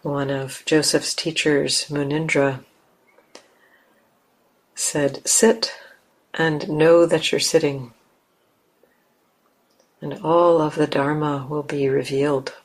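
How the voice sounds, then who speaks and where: A woman leading a guided meditation as a teacher, speaking gently in simple, unhurried phrases with long pauses. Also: deep voice, fairly even intonation, low-quality recording.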